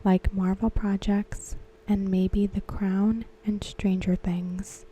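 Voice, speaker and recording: A woman whispering softly and unhurriedly in an ASMR style, talking about a TV episode. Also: medium pitch, little pitch movement, slow, breathy edge. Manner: soft unvoiced whisper throughout. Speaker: ASMR creator discussing a TV episode.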